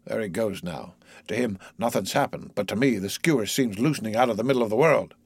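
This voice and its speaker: A man voicing a gruff, wry old grey-haired sailor in an audiobook, muttering and grumbling. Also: on the low side, brisk, no room sound. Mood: gloomy muttering.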